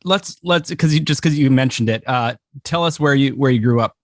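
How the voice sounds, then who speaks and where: A friendly, chatty man from Canada hosts a podcast interview and laughs easily. His voice is mid-pitched and sounds dry and close. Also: normal speed, no room sound, faint music behind. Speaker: podcast host. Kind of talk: casual interview chat.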